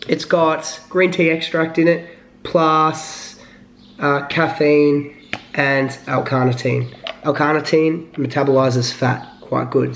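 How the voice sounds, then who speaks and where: A man with a slightly hoarse voice, talking casually to the camera as a fitness vlogger, rambling with lots of fillers. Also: a little high, reverberant, slight background noise. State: hoarse from illness.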